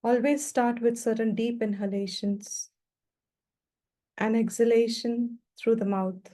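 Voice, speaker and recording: A woman guiding a meditation as a teacher, speaking gently and without hurry in short, spaced phrases. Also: fairly high pitch, steady tone. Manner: gentle, unhurried, pausing between short phrases. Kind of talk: guided meditation instruction.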